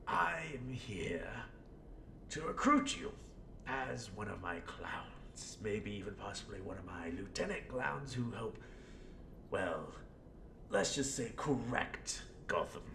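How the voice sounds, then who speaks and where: Male amateur performer as scheming clown villain, low gravelly voice, sardonic rambling, erratic muttering and outbursts, roleplay monologue, roomy echo. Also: pushed and bright, heavily acted. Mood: scheming, persuasive. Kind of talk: in-character audio roleplay monologue. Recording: roomy echo, faint rumbling background noise.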